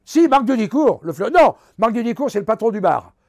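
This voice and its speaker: An older man, a veteran actor, telling animated anecdotes in a relaxed interview and acting out the lines of the people in his stories. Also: sits high, a little fast. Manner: animated anecdotes, acts out quotes. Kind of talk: casual storytelling interview.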